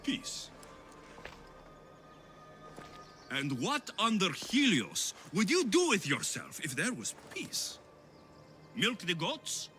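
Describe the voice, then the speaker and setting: Over prominent music comes a volatile young warrior in a video game drama. His pitch leaps and plunges as he moves between scorn and menace. He speaks slowly and intensely, sure of his own destiny. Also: huge pitch swings, heavily acted. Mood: mocking, scornful. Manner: scornful, intense, swinging from shouts to menacing whispers. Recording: loud music behind the voice.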